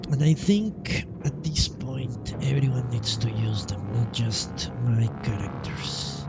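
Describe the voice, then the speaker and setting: A man's voice: mid-range voice, slow pace, creaky. A man giving casual live gameplay commentary, thinking aloud with a gloomy, pessimistic outlook and some swearing. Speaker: game streamer. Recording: loud game music behind voice. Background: loud video game music and sound.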